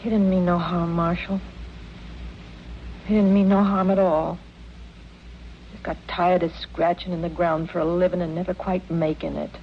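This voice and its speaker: A woman's voice: lowish voice, level tone, moderate pace, long pauses, natural voice. A woman playing a naive, loyal backwoods girl in a radio western, plaintive and simple, with a rural American accent. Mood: grieving, pleading. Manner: simple, folksy, artless. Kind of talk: 1950s-60s radio western acting. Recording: muffled, noisy old radio recording.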